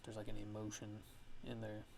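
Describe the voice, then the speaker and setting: Male producer, lowish gravelly voice, casual ASMR tutorial delivery, halting and thinking aloud, noisy recording. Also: completely flat delivery. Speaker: music producer giving a tutorial.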